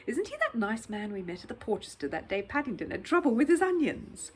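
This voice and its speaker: Storybook housekeeper, acted by a woman: firm, brisk, admonishing, with varied intonation. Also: on the high side, big pitch swings, slight background noise. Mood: curious.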